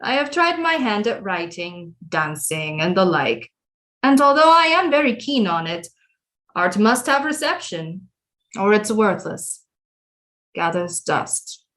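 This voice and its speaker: A woman playing a cunning, imperious French queen mother with grandiose, deliberate period speech in an audio drama. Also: mid-range voice, big pitch swings, a bit bright. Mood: imperious, earnest. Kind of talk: theatrical audio drama acting.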